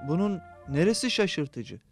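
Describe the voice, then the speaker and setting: Cartoon townsman, fairly high male voice, emphatic, admiring, music behind. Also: sing-song, a little slow, exaggerated voice. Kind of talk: dubbed religious cartoon acting. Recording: clear music under the voice.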